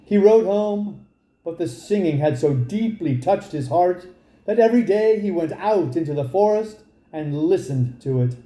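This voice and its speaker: A man reads a fairy tale in a very mellow, high-set voice for a male. He takes his time over the storybook narration, and the room adds a clear echo. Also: lively intonation, unhurried. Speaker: storybook reader.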